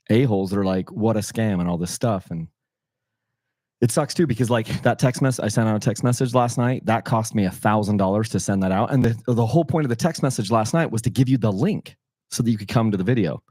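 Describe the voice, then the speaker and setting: A middle-aged man with a slightly hoarse voice, hosting a casual livestream from his house and rambling with frequent self-corrections. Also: on the low side, a little fast, darkish tone, no room sound, studio quality. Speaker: channel host running a sweepstakes livestream.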